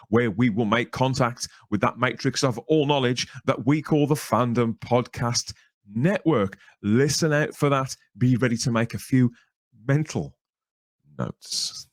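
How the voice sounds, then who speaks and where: A man's voice: medium pitch, a little slow, no echo. Male podcast host, close dry sound. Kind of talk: podcast introduction and interview.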